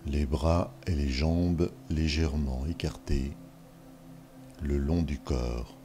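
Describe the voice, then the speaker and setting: Very deep, slow-speaking man guiding meditation. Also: fairly even intonation, soft background music. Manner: measured, instructional, with long pauses between short phrases. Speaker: yoga nidra guide. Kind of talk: guided relaxation meditation.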